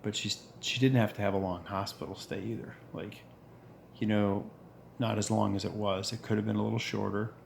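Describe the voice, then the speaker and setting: A man in his forties with a deep voice, talking earnestly in a reflective monologue, pausing and restarting as he goes. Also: flat delivery, gravelly, slight background noise. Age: 40s. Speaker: vlogger.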